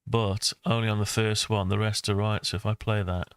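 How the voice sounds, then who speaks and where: Low, even-toned older man, thinking aloud. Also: brisk, clear, resonant tone, no room sound, clean studio sound. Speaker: maker and video host. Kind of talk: casual screen-recorded tutorial talk.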